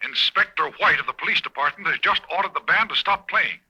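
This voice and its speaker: A man playing an excited male radio announcer reporting from a helicopter in a 1940s radio drama, with brisk announcer delivery. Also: sits high, slight background noise, exaggerated voice, poor audio.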